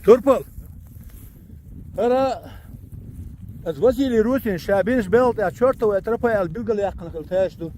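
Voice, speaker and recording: A man from Chechnya chatting casually with companions while hiking, tossing out loud offhand remarks and short questions outdoors in a mountain gorge. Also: sits high, a little fast, long pauses, slight background noise. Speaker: hiker filming a trip. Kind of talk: casual chat among companions on a hike.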